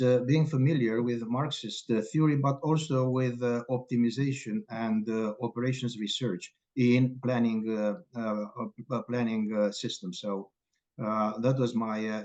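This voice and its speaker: A middle-aged man from Romania with a Romanian accent, speaking haltingly with many filler pauses as a guest scholar in an interview. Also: mid-range voice, darkish tone, lo-fi sound. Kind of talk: academic interview.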